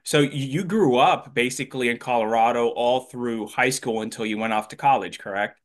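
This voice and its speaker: Host, middle-aged man, relaxed and rambling, mid-pitched. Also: moderate pace. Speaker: show host. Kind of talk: conversational interview.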